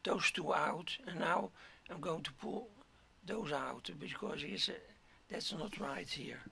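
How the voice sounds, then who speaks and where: A hoarse man talking through a 3D modeling tutorial step by step, halting, often clearing his throat and dropping to a whisper. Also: a little high, a crowd in the background. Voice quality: gravelly, keeps clearing throat. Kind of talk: screen-recorded software tutorial.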